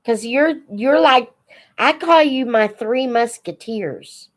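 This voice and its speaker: An older woman chatting casually as a live host for young viewers, in an unhurried, reflective, folksy manner. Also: fairly high pitch. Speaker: host of a children's book read-aloud channel.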